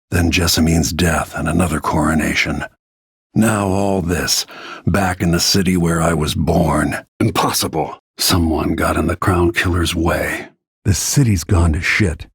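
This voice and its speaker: Grim video game assassin, middle-aged man, low-pitched, husky rasp, terse, brooding, dry close sound. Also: no room sound, exaggerated voice. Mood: grim, controlled. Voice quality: gravelly, husky. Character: grim, weathered middle-aged male royal bodyguard turned assassin.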